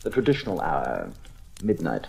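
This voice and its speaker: Very deep-voiced criminal ringleader. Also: female voice, huge pitch swings, moderate pace, gravelly, mellow, full character voice. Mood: smug, briskly instructing. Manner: suave, articulate, condescending, menacing. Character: cool, scheming, commanding criminal ringleader plotting a theft. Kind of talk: old radio mystery drama acting. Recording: noisy old radio recording.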